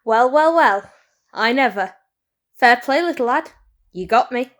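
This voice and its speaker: A woman's voice: on the high side, expressive, a little slow, a bit bright. A woman playing a weary, sardonic village baker unmasked as a killer, dry and nonchalant, in an audio drama. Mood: wry, resigned.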